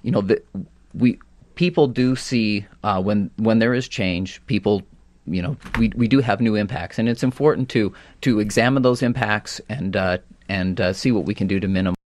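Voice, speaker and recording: An American man speaking as a policy guest on a radio talk show, often halting and rephrasing as he goes. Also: on the low side, darkish tone, dry recording. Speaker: energy policy director, panel guest.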